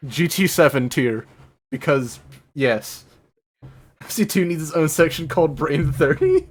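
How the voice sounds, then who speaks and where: A man's voice: a little high, big pitch swings, unhurried. A man hosting a live stream, chatting casually with frequent restarts and offhand swearing. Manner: stammering restarts, laid-back, swears casually. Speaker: streamer host.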